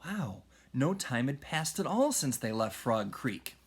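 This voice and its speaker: A man reading a children's story aloud in an expressive, dramatic way, moving between hushed and raised delivery. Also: mid-range voice, measured pace, slightly rough. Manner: animated, dramatic, with hushed whispers and shouts. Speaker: read-aloud storyteller, music teacher.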